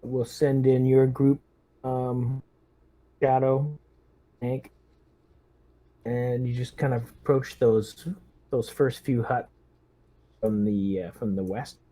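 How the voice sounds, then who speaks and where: Male tabletop player, extremely mellow dark tone, middle pitch, casual squad-leader tactical talk. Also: steady tone, slow, long pauses.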